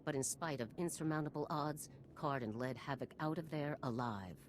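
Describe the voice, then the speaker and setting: A woman's voice: normal speed, a little hiss or hum, close to an everyday voice. An actress voicing a stern older female general in a video game, with a clipped, authoritative, formal manner. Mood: stern, businesslike. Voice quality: low-pitched.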